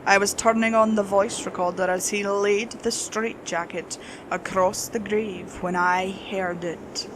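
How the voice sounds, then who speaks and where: A woman's voice: mid-range voice, fairly even intonation, measured pace, background noise. A woman reading a scripted horror story as its narrator.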